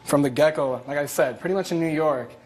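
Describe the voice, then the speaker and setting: A man's voice: on the high side, fast, breathy edge. A young man from the Dominican Republic, the plaintiff in a TV court case, telling his story in a rambling way. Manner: rambling, many fillers and restarts. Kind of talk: courtroom testimony.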